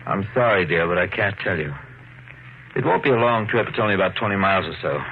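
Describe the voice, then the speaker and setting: An actor playing a grave, guarded husband under suspicion, earnest and formal in a 1950s radio drama. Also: male voice, deep voice, animated, fast. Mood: grave determination. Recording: very muffled, faintly hissy old radio broadcast.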